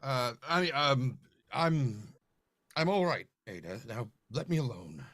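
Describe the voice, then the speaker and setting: A man's voice: medium pitch, big pitch swings, slow pace, a bit bright, no room sound, studio quality, exaggerated voice. A man playing an anxious, confused, stammering widower in an old-time radio horror play. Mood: brooding, confused. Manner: stammering, theatrical.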